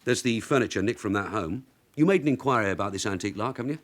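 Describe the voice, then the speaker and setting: A shrewd veteran police sergeant in a radio crime drama, played by a male actor. His low voice moves quickly and swings expressively, with clipped, probing questions and orders. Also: expressive, lightly acted. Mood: businesslike, dry. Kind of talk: naturalistic radio drama acting.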